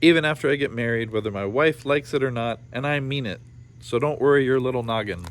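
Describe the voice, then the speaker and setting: A man's voice: medium pitch, a little fast, natural voice. Man reading as an earnest boy, big brother. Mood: reassuring.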